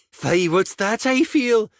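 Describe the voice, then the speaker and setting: Male actor as brash elf lass, earthy dialect, teasing, highly animated intonation, dry studio sound, fantasy game. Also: extremely slow, dry recording, full character voice. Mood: gleeful, mocking. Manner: folksy, teasing dialect speech. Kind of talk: scripted fantasy video game dialogue.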